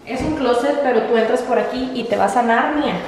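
Young woman in very echoey rooms, high voice. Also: a little fast, a little mellow. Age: 20s. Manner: bubbly, enthusiastic, informal slang. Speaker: vlogger. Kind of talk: casual house tour vlog. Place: empty rooms of a house, indoors. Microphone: camera held by another person, few metres away. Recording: echoey empty rooms, distant mic, faint noise.

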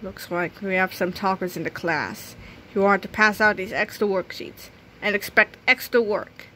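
A woman voicing a strict male substitute teacher in a children's graphic novel read-aloud, speaking in a flat, commanding way. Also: mid-range voice, moderate pace, slight background noise, pitched below the performer's own voice, close to an everyday voice, low-quality recording. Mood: stern.

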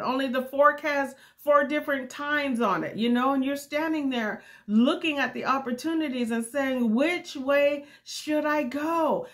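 Slightly raspy woman teaching a devotional. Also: on the high side. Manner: candid, unscripted, repeats and restarts. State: getting over a cold, a bit raspy. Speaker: women's ministry host.

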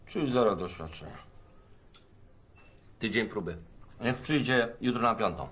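An actor playing a middle-aged shopkeeper who is curt and dismissive with a job applicant. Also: male voice, fairly low pitch, animated, measured pace, a bit bright, husky, exaggerated voice. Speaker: shop owner interviewing a job seeker. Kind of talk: film acting.

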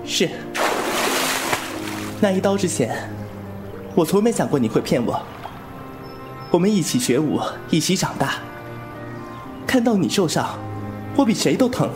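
Man acting a lively young swordsman, music behind. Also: a little high, measured pace, long pauses. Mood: hurt, confessional. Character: young swordsman, playful yet wounded and distrustful. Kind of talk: Chinese wuxia audio drama acting.

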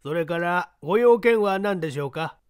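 A man's voice: high-pitched, expressive, moderate pace, clear, resonant tone, no echo, studio quality, pitched above the performer's own voice. A man voicing a polite, businesslike middle-aged office receptionist in a read-aloud mystery.

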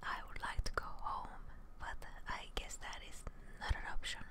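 A young woman whispering soft, breathy, casual ASMR commentary over video game play, close to the microphone. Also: moderate pace. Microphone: close microphone, headphones on.

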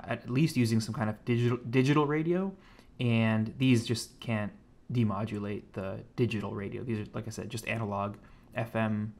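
A man with an American accent giving a laid-back, informal tech demonstration as a hobbyist. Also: fairly low pitch. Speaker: hobbyist presenter of an electronics project. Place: indoors at a home computer desk.

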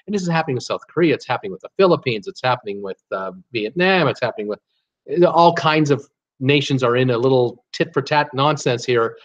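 A man's voice: medium pitch, fast. A man in his sixties talks in a loose, rambling way on a live stream, with plenty of "uh"s between thoughts. The sound is a bit muffled, as from a webcam mic in a small home office. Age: 60s. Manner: rambling, chatty, frequent "uh" fillers, animated hand gestures. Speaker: cruise travel YouTuber hosting a livestream. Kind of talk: casual live-stream chat reading viewer comments. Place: home office with shelves of cruise mugs, indoors.

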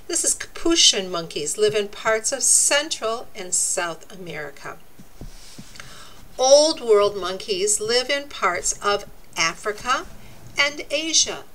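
A woman reading a nonfiction book aloud for young children, with deliberate, expressive, teacherly phrasing and explanatory asides. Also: medium pitch, low-quality recording.

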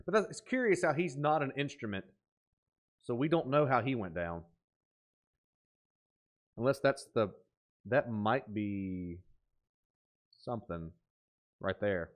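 Man, thirties, medium pitch, reaction commentary, excitable, musing asides, thinking aloud. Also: slow pace, long pauses. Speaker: host of a reaction video. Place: small indoor room at a desk.

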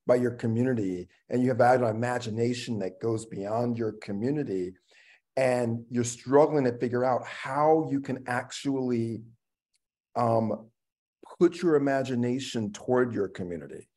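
A middle-aged man speaks at a medium pitch in casual conversation over a video call. He is reflective and halting, often repeating a word as he works toward his thought. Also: dark tone. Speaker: actor, panel guest. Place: room at home, on a video call.

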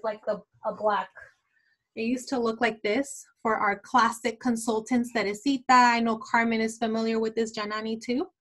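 A woman's voice: on the high side, slight background noise, rough recording. A woman in her twenties giving a casual product training talk to her sales team, chatty and full of fillers like "like" and "um". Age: 20s. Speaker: team leader of a beauty sales team.

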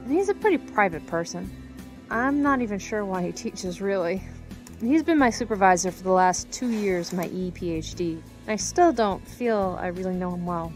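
A woman's voice: medium pitch, expressive, moderate pace, soft background music, natural voice. A woman playing a candid, chatty young graduate student in an audio drama. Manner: conversational, candid.

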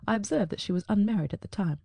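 A woman reading the part of a sharp-witted male detective in an audiobook, reasoning aloud in a crisp, probing way. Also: medium pitch, very fast, no echo.

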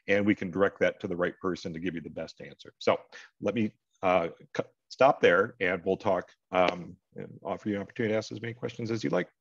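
A man's voice: lowish voice, no echo. A middle-aged American man hosting an online advising session, talking informally and explaining things directly to his audience. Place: indoors, on a video call. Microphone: video call microphone. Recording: dull, lo-fi video call audio.

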